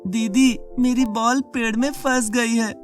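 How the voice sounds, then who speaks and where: Little cartoon kid, played by a woman: polite, asking, much raised pitch, music behind. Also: fairly even intonation, measured pace, lightly acted. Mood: pleading. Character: small child politely asking for help. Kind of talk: animated children's moral story.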